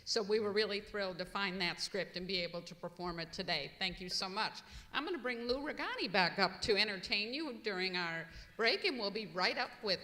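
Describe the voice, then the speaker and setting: Bright-voiced woman presenting in echoey hall. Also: medium pitch, expressive, brisk, few pauses. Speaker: troupe presenter. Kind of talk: casual live stage introduction. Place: museum performance space, live before an audience. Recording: echoey hall, live stage mic.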